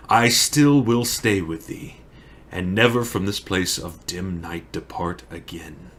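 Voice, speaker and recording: A man playing a grieving, lovesick young tragic hero in a theatrical, solemn Shakespearean monologue with drawn-out phrasing. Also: on the low side, a bit bright, pitched above the performer's own voice. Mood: anguished, resolute.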